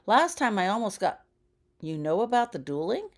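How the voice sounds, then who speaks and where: A woman voicing a shy schoolgirl witch in an audiobook, hesitant and earnest, eager yet quick to defend herself. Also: medium pitch, sing-song, pitched above the performer's own voice, lightly acted. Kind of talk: audiobook character dialogue.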